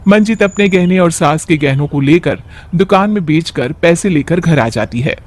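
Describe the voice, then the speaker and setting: A man's voice: fairly high pitch, animated, moderate pace. A man narrating a scripted moral bedtime story as a storyteller.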